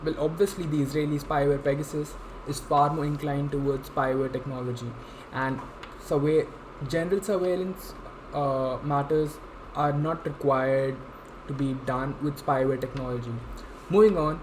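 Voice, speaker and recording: A young man with an Indian accent speaks in a slightly high voice over clear background noise. He presents like an intern reading out a legal explainer, formal and a little halting. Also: steady tone. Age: young adult. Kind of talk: scripted explainer talk. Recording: clearly noisy amateur room recording.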